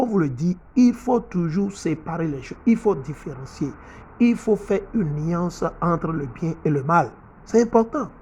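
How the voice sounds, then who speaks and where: A middle-aged male preacher with a husky, somewhat high voice. He teaches forcefully, repeats points and keeps checking that listeners follow. His tone is pressing and insistent. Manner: emphatic, repeats himself and asks "ok?" often.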